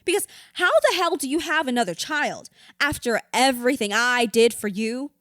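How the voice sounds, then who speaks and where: A woman's voice: on the high side, lively intonation, normal speed, fairly bright tone, no room sound, clean studio sound. An actress playing a hurt, bitter widow confiding in her therapist in an audio drama, raw, blunt and sweary. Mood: angry, anguished. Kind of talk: audio drama acting, confessional dialogue.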